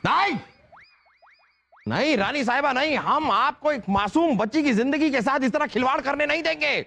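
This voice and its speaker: An actor playing an exasperated, teasing emperor in a TV sitcom, with theatrical mock courtesy and drawn-out exclamations. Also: male voice, extremely high pitch, vocal fry. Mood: mock indignant. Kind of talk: broad TV sitcom acting. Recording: loud music over the dialogue.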